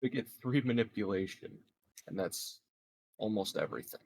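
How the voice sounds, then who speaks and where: A man's voice: on the low side, moderate pace, gravelly, darkish tone, slight background noise. A man chatting casually as a player in a tabletop game, thinking aloud a little hesitantly. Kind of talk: casual gaming chat.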